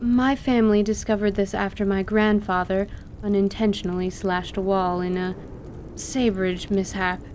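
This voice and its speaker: Woman as composed, formal half-elf scholar, medium pitch. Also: fairly even intonation, normal speed, a little mellow, slight background noise, lightly acted. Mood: composed, instructive. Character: courteous, learned half-elf woman, a tent owner. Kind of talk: audio drama acting of a tabletop campaign.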